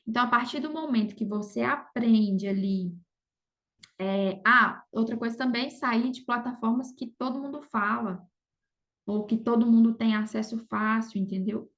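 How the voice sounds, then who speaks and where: Brazilian woman, highish voice, slightly dull audio. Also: moderate pace, fairly bright tone. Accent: Brazilian Portuguese. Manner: informal, frequent check-in tag questions. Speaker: podcast guest, affiliate marketer. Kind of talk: casual conversational advice.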